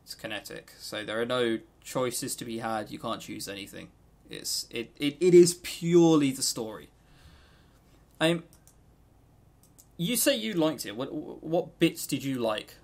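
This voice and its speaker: A man's voice: medium pitch, very animated, unhurried, gravelly, darkish tone. A man co-hosting a podcast, talking casually and informally, with opinionated but hesitant delivery. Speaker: podcast co-host. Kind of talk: casual game review chat.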